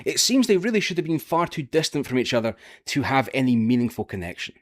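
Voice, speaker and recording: A man's voice: medium pitch, very animated, fast talker. A man with a Scottish accent, presenting a scripted history video in a careful, explanatory way. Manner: careful, explanatory, with occasional filler "uh". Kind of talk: scripted educational narration.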